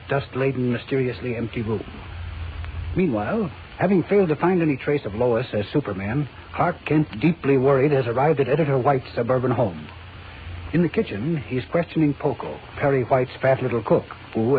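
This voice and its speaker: A man's voice: mid-range voice, fairly quick. A man narrating a vintage radio serial in a dramatic, suspenseful, portentous scripted style. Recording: tinny, noisy old radio recording.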